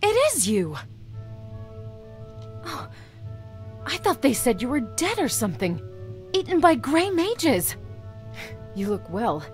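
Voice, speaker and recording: Woman putting on an animated, effusive young female mage. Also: high-pitched, slow pace, long pauses, soft background music, voice pushed up, full character voice. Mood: delighted. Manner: effusive, exclamatory. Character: bubbly young female court mage, eager, affectionate. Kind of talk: visual novel dialogue read aloud.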